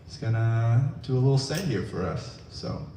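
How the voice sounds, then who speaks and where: A man's voice: medium pitch, animated, moderate pace. Easygoing man hosting an open mic. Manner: easygoing, hesitant with many fillers. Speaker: open mic host and musician. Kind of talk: emceeing a live open mic. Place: indoor open mic venue. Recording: roomy venue, distant mic, background noise.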